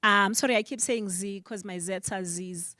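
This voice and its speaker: A woman's voice: mid-range voice, steady tone, moderate pace, sharp tone, dry recording, clean studio sound. Passionate South African woman moderating. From Eastern Cape, South Africa. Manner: emphatic, passionate, rhetorical questions. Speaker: panel moderator. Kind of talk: conference panel moderation. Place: symposium panel on stage.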